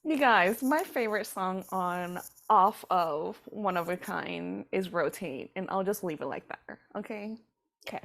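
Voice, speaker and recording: A woman co-hosting a music reaction video, chatting casually and excitably, gasping and laughing often. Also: lowish voice, measured pace, gravelly. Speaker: co-host of a reaction channel.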